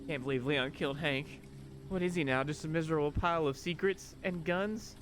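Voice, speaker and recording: A man's voice: a little high, fairly even intonation, brisk, background noise, lightly acted. A man voicing a sardonic, exasperated young woman in a visual novel, dry and skeptical as she talks with her own mind. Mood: puzzled, wry. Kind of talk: visual novel character read-aloud. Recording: loud music behind. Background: loud music.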